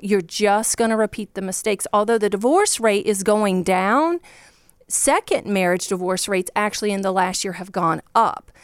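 A woman's voice: medium pitch, clean tone, dry recording, studio quality. Earnest Virginia-accented woman divorce coach. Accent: Virginia Southern. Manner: earnest, passionate, speaks in long run-on explanations. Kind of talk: podcast guest discussion.

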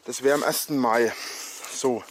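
A man narrating a walking vlog outdoors in a forest, out of breath, rambling with many halting fillers, filmed on a handheld camera. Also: medium pitch, steady tone. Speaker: vlogger.

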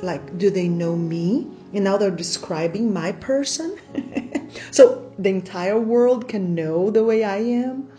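A woman's voice: medium pitch, dark tone. A woman from Brazil with a Brazilian Portuguese accent, hosting a faith podcast in an enthusiastic, chatty way, laughing often. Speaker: podcast host. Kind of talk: casual faith podcast monologue.

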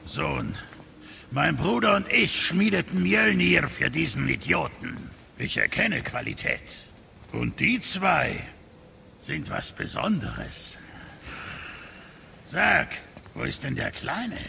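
Ein Schauspieler spielt in einem Hörspiel einen derben, gutherzigen Zwergenschmied, der unverblümt und mit bildhaften Ausrufen redet. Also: male voice, on the high side, sing-song, slow, creaky, pauses often, bright, forceful tone, slight background noise, exaggerated voice. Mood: gruff admiration. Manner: brash, colourful, exclaiming. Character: blunt, gruff, good-hearted dwarf blacksmith. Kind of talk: German audio drama acting.

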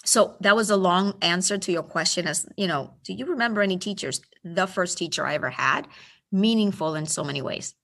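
A woman's voice: lowish voice, fairly quick. A woman from Puerto Rico, a school superintendent, telling stories in a chatty interview. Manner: chatty, warm storytelling with fillers. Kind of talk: casual interview.

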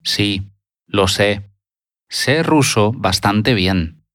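Brief: man, lesson speaker, slow and low, dry close sound, repeats every answer in full sentences. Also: animated, a bit bright, no echo. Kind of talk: slow, deliberate lesson dialogue.

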